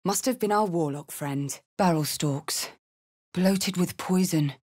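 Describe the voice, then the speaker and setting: A woman's voice: lowish voice, slow pace, darkish tone. A woman playing a brash, hearty, battle-hardened tiefling warrior woman in a video game, with a blunt, salty way of talking. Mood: gruff, observant. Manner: blunt, salty, coarse-mouthed. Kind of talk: video game character voice lines.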